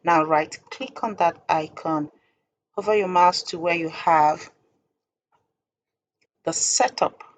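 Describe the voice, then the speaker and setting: A woman with a low, creaky voice walks through a software tutorial step by step. Her delivery is informal, halting and deliberate, over faint background noise.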